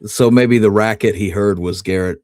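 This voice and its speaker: A man with a fairly low voice co-hosts a history conversation in a dry, close recording. He thinks aloud, laying out his theory piece by piece. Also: steady tone, moderate pace, darkish tone, no echo. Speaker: podcast co-host. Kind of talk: conversational historical discussion, speculating.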